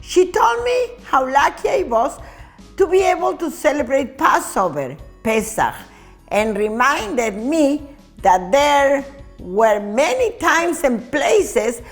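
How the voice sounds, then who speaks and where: A woman reading a children's story aloud in an expressive, deliberate manner. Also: high-pitched, soft background music.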